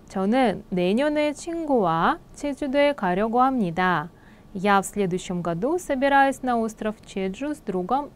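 A young woman leads a Korean exam prep lesson, explaining in Russian. She rattles off each Korean sentence, then slows right down to translate it. Her pitch sits at a middle level for a woman. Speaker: Korean language teacher.

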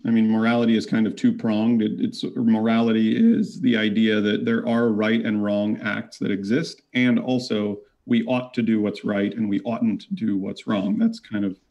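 A man's voice: on the low side, warm tone. A man arguing his side in an online debate over a video call, reasoned and argumentative. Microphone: webcam video call.

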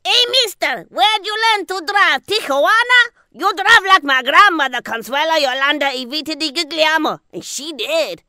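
A sassy, furious road-rager in a comedy sketch. The voice is high, sharp and brash, with a dry close sound. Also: female voice, expressive, normal speed, hardly any pauses, no echo, full character voice. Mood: indignant. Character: brash, angry sassy driver hurling insults. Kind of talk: comic character piece.

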